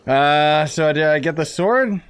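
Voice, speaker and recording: A man's voice: on the high side, expressive, measured pace, clear, resonant tone. A man giving casual, impatient, sarcastic gameplay commentary as a streamer. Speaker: game streamer.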